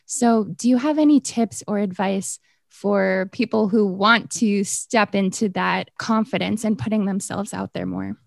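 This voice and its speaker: A woman's voice: medium pitch, measured pace, gravelly, no echo. Enthusiastic woman interviewer, dry sound. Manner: enthusiastic, praising questions. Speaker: interviewer and former student. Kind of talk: casual interview.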